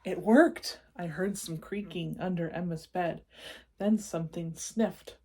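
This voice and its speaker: A woman's voice: fairly low pitch, a little slow, darkish tone, breathy edge. A woman narrating a children's storybook expressively, breaking off to explain and check in with young listeners. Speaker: read-aloud storyteller for children.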